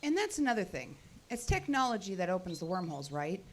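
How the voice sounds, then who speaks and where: An actress playing a skeptical, no-nonsense cell tower technician in a live audio drama, brisk, dry and guarded. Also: female voice, fairly low pitch, very animated. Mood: suspicious.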